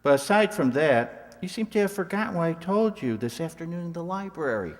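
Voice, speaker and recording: A man playing a cold, calculating college professor in a radio mystery drama, speaking in a measured, formal, evasive way. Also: a little high, lively intonation. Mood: wary, smooth. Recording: reverberant hall stage recording.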